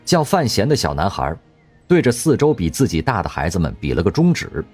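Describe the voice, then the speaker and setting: A man narrating an audio drama in a scripted, measured literary storytelling style. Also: sits low, huge pitch swings, a little mellow, slightly rough, soft background music.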